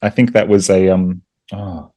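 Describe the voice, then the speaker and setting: A man's voice: sits low, fairly even intonation, a little slow, dark tone, no room sound, highs cut off. A man from Australia with a mild Australian accent, a musician guest in an interview, speaking reflectively and hesitantly. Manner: reflective and hesitant, many fillers. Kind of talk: casual interview chat.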